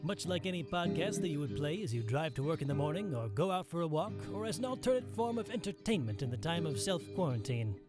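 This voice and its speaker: A man's voice: fairly high pitch, very animated, fast, few pauses, music in the background. A man acting as an eerie, theatrical narrator of an anthology mystery show, in a portentous, dramatic style. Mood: ominous, grand.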